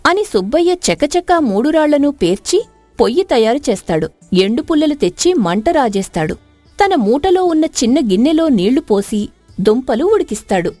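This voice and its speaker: A woman's voice: high-pitched, big pitch swings, moderate pace, mellow, dry recording. A woman storyteller reading a scripted moral story as narrator. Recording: dry studio narration over music.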